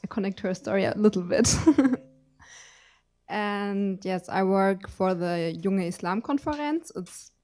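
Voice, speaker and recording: A young woman from Germany in her twenties introduces herself to a panel. Her voice is warm and mellow, and her delivery is shy and hesitant. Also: medium pitch, a little slow. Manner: shy, hesitant, laughs softly. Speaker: panelist, student.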